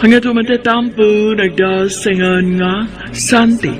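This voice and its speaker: A man's voice: sits high, steady tone, unhurried, heavy background noise. A man announcing a radio drama in a dramatic style. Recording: loud theme music behind voice.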